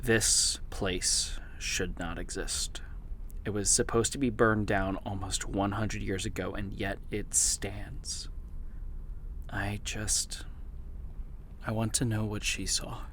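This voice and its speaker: An actor playing an exhausted, obsessed male investigator in an audio drama, brooding and halting as he records his confessional log. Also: lowish voice, fairly even intonation, a bit bright, a little hiss or hum. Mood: brooding, grave.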